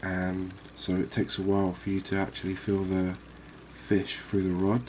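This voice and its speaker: A man giving unhurried, murmured ASMR commentary as he hosts a fishing game series. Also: deep voice, monotone, darkish tone, a little hiss or hum. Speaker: host of a gaming series.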